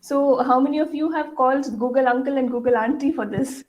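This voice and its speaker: Middle-aged woman, Indian English accent, school leader at assembly, earnest and halting, a bit high-voiced. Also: fairly even intonation, darkish tone, highs cut off, a little hiss or hum. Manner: earnest, many fillers, asks the audience questions. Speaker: school head addressing students.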